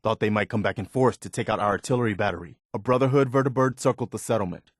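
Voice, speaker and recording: An actor playing an earnest, loyal, plain-spoken militia man in a video game, sincere and measured. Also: lowish voice, no room sound, studio quality, natural voice. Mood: businesslike concern. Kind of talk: naturalistic video game dialogue.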